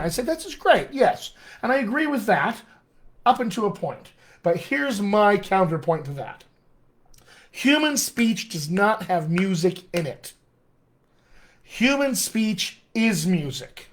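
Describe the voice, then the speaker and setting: A middle-aged American man, a dialect coach, teaching a live online class in an animated, friendly way, often calling his viewers "my friends.". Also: high-pitched, measured pace. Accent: American English. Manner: animated, addresses viewers as "my friends", chuckles at own asides. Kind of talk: live-streamed teaching session.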